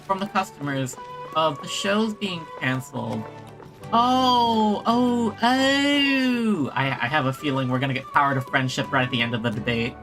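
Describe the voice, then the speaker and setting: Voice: medium pitch, lively intonation, normal speed. A game streamer chatting casually on a livestream, hesitating and thinking aloud in a rambling way. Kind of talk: casual gameplay livestream chat. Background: faint game music.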